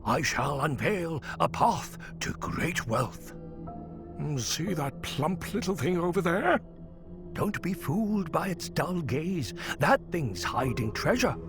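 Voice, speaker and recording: An actor playing a sly storyteller and trickster in a video game, wheedling in theatrical, old-fashioned phrasing. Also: male voice, fairly high pitch, very animated, slow pace, over music, heavily acted. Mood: conspiratorial. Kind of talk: video game cutscene acting.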